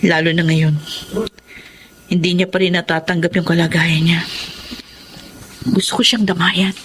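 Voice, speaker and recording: An actress playing a patient, devoted older sister in a Filipino radio drama, speaking gently with a Filipino accent. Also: female voice, fairly low pitch, steady tone, moderate pace, lightly acted. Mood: caring, firm.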